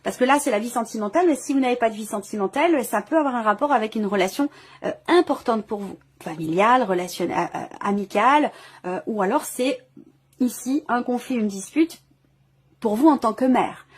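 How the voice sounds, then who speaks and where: A woman's voice: a little high, lively intonation, a little fast, slightly rough. A woman giving an improvised tarot reading as host, feeling her way through phrases and often rewording as she goes. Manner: hesitant, searching phrasing with repeated rewording. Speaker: tarot reader hosting a video reading. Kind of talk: intuitive tarot reading, improvised spoken commentary.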